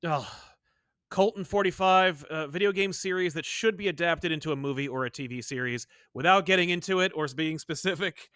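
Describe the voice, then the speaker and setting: A man co-hosting a casual geek-culture podcast, animated and prone to exclaiming and acting out imagined quotes. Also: a little high, fairly quick, clear, resonant tone, no room sound, clean studio sound. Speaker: podcast co-host.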